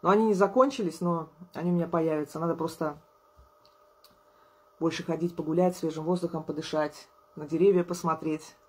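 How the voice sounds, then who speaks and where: Woman, 30s, vlogger, weary but friendly casual talk, husky tone, camera at table distance. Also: deep voice, fairly even intonation, a little fast. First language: Russian. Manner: tired, chatty, warm to followers, laughs often. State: low on energy. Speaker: artist and musician vlogger. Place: indoors at a table, small room.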